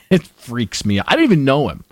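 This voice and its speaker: A man's voice: medium pitch, wildly swooping pitch, brisk, no echo. A man hosting a podcast, chatting and teasing his guests with frequent laughter. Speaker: podcast host. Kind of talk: casual podcast chat.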